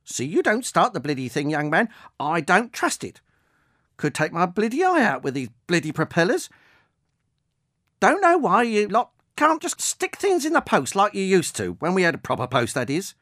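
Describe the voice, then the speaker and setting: A woman's voice: fairly low pitch, sing-song, moderate pace, fairly bright tone, pitched above the performer's own voice. A reader doing a sweary cantankerous old lady. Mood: grumbling. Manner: indignant, grumbling, sweary. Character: cantankerous swearing elderly woman, seventy-four.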